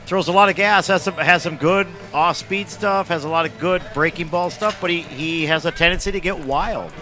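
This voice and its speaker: A man's voice: on the high side, normal speed, sharp tone. A man doing color commentary for a baseball game, hesitant and filling his analysis with ums and uhs. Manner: hesitant, filler-heavy analysis. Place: outdoor ballpark.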